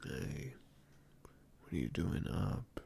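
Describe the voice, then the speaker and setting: A man playing a sleepy, affectionate boyfriend in an intimate ASMR roleplay, murmuring tenderly and haltingly in a gravelly, husky morning voice. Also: a bass voice, very animated, full character voice. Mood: drowsy, pleading. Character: sleepy, affectionate boyfriend coaxing partner back to bed.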